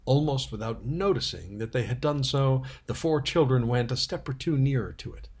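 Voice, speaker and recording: A man reading a children's story aloud as narrator, with a slightly husky voice. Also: medium pitch, moderate pace, darkish tone.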